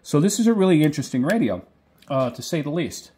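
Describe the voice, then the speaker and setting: A middle-aged or older man hosting a hobby video, explaining casually off the cuff in a halting, tentative way with frequent self-corrections. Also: fairly high pitch, very animated, warm tone, husky. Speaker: video host, radio hobbyist. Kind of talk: casual product talk, unscripted explaining.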